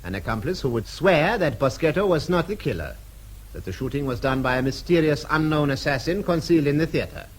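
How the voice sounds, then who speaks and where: An actor playing a cold, menacing senior official in a radio drama, speaking in a formal, clipped and precise way. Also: male voice, on the high side, expressive, fairly quick. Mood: coldly insistent.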